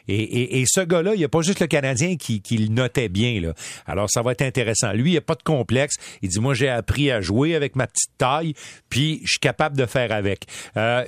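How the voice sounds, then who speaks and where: A man's voice: mid-range voice, dry recording, clean studio sound. A man with a Quebec French accent reporting on hockey prospects in a casual, storytelling way. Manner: chatty, storytelling, many fillers and restarts. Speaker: sports reporter. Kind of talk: radio sports talk.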